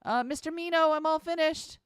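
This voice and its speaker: Male reader doing a bright, keen young woman, high-pitched and earnest. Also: brisk, dry recording, studio quality. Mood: eager.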